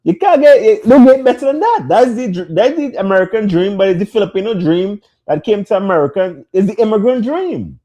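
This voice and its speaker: A man's voice: high-pitched, sing-song, a little fast, few pauses, warm tone. A man co-hosting a casual podcast, talking emphatically with street slang and bursts of energy. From immigrant to the US. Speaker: podcast co-host.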